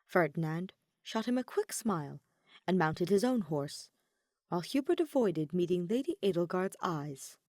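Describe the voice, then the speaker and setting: Expressive woman narrating a story. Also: lowish voice, normal speed, dry recording, clean studio sound. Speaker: audiobook narrator. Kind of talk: scripted narration of fan fiction.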